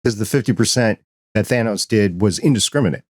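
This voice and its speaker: A man's voice: lowish voice, a little fast, husky, no room sound, studio quality. A man with an American accent talking casually as a podcast co-host, rambling and acting out imagined reactions as he makes his points. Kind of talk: casual discussion and commentary.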